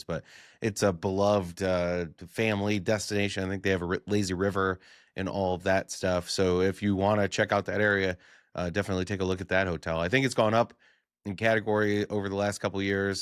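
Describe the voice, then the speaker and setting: Lowish smooth guy on a podcast, kinda rambly, voice a bit worn. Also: dry recording, studio quality. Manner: loose, rambling, with many fillers. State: voice worn from yelling. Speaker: podcast co-host. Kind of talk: casual travel podcast chat.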